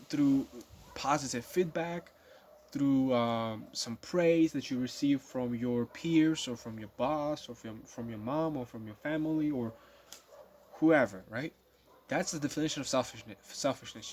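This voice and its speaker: A man's voice: medium pitch, a little hiss or hum. A nineteen-year-old man talking casually to his viewers in a rambling monologue, full of fillers and restarts. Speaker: vlogger.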